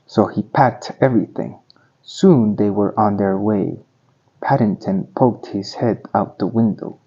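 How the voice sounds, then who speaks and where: Male storybook reader, unhurried, husky, deliberate phrasing for children, slightly muffled lo-fi recording. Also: fairly low pitch, dark tone. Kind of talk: read-aloud storybook narration.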